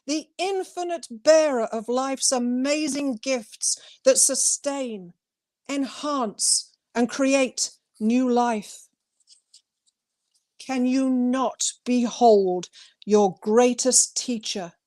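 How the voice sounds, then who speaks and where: A woman poet presenting and reading her own work as a guest, with deliberate, emphatic phrasing. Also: high voice, a little mellow. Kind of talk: poetry reading with brief informal introduction.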